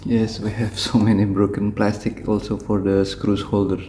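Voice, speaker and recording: A man with an Indonesian accent giving a hands-on repair tutorial in halting, simple phrases. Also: fairly low pitch, flat delivery, mellow, a little hiss or hum. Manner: halting, short phrases in simple non-native English.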